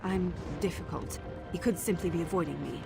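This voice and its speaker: An actress playing a wry, guarded undercover agent in a video game, with a dry, clipped delivery. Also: female voice, fairly low pitch, a little fast, vocal fry. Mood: awkward, worried. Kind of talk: video game character acting. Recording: prominent music behind the voice.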